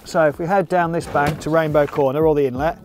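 A man's voice: on the high side, steady tone, fast talker. A middle-aged man gives casual, matter-of-fact instructional commentary as an angler. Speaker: competition angler presenting a fishing film. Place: open boat on a lake, outdoors. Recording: outdoor water and boat noise.

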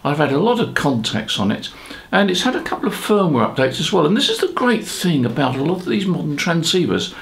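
An older British man in his seventies talks as a conversational presenter. His pitch moves in animated swings, with a clearly creaky, gravelly edge. It sits a little high for a man, and he sounds chatty and reflective, dropping in rhetorical asides. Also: fairly quick. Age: 70s. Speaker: ham radio presenter. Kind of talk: conversational explainer to camera. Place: small home office, indoors.

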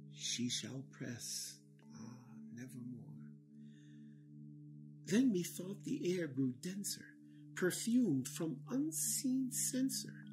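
A man in his sixties recites gothic verse at a very slow pace. His delivery is theatrical and widely varied in pitch, moving between hush and intensity. Eerie music plays behind him. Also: poor audio. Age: 60s. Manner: theatrical, swinging from hushed to intense. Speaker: reader of a horror poem. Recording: spooky background music.

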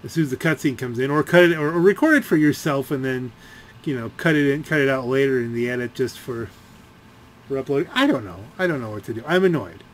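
A man giving casual, rambling gameplay commentary, halting as he thinks aloud. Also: mid-range voice, slight background noise. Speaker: gaming video creator.

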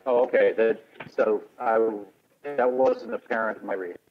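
A man's voice: on the low side, moderate pace. A man questioning a proposal as a committee member in a remote meeting. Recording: tinny phone line with clear noise.

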